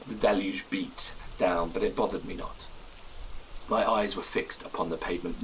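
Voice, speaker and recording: Low-voiced haunted gentleman. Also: a little hiss or hum, poor audio. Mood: weary, haunted. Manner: formal, literary, grave phrasing. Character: haunted, fearful gentleman recounting a ghostly night. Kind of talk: dramatic first-person gothic storytelling.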